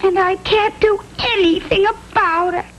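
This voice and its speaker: A woman's voice: very high voice, steady tone, a little slow, a bit bright, a little hiss or hum, heavily acted. A woman playing a vain, sunny, chatty young fairy-tale maiden in a classic cartoon, with a gushing sing-song manner. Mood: dismayed.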